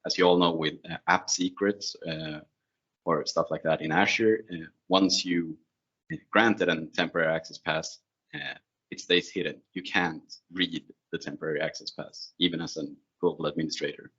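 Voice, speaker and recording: A man's voice: deep voice, steady tone, moderate pace, a bit bright, husky. A man who is not a native English speaker, giving an informal technical presentation in a conversational, slightly hesitant way. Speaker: presenter, IT security consultant. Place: online video call screen share. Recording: dull, lo-fi online meeting audio.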